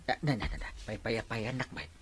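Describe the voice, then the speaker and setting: A man's voice: lowish voice, animated, a little fast, crowd noise, natural voice. A man with an Ilocano accent playing an exasperated, scolding village captain in a broad comedy. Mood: busy. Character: exasperated male village captain keeping order. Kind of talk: broad barangay comedy acting.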